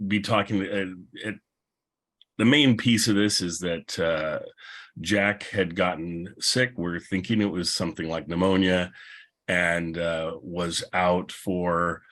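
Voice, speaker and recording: Low-pitched man hosting a panel. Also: steady tone, slow pace, a bit bright. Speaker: panel host and podcaster. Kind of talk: casual, unscripted panel introduction.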